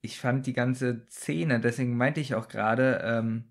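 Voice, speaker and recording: A man's voice: mid-range voice, steady tone, unhurried, vocal fry. A man co-hosting a podcast, casually questioning the logic of a radio play's story. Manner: questioning, skeptical, laughs often. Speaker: podcast co-host. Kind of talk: casual chat reviewing a radio play.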